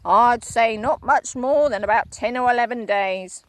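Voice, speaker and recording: A woman voicing a nasty old man who taunts slowly and with relish. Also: on the high side, dry recording, close to an everyday voice. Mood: menacing. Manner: slow, gloating and emphatic. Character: nasty gloating old man, cruel trickster.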